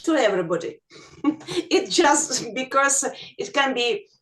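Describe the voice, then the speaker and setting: Russian accent lady, slow n hesitant, giggly, kinda high voice, chatting on a group call. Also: lively intonation, fairly bright tone. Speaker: English teacher. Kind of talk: casual chat in an online group meeting. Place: online video call.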